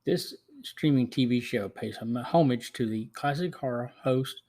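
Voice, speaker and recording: A man's voice: mid-range voice, moderate pace, darkish tone. A middle-aged or older man hosting a podcast, reading a scripted film review with the occasional stumble. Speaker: podcast host.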